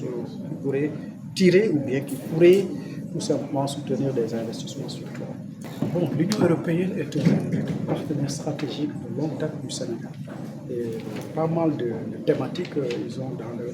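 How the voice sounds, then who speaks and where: A man with a Senegalese accent giving an unscripted, formal and didactic statement to the press as an official. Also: medium pitch. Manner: formal and didactic, posing and answering his own questions. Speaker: government official. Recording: loud background noise, event ambience.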